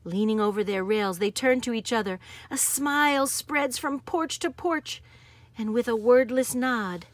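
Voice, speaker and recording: A woman reading a picture book aloud in an expressive, dramatic manner. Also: a little high, unhurried, no echo. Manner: animated, dramatic, varies from hushed to exuberant. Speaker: storybook reader.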